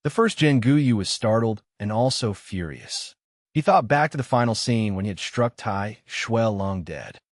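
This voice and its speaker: A man narrating a fantasy novel in a steady, dramatic manner. His voice sits low and is recorded dry and close. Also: no room sound. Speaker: audiobook narrator.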